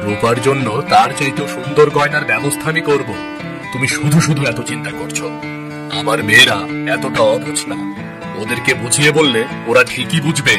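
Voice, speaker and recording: Man acting gentle doting father over music. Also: mid-range voice, brisk, lightly acted. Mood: reassuring. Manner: soothing, indulgent, affectionate. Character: gentle, doting village father of three daughters. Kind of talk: cartoon voice acting. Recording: music under the voice.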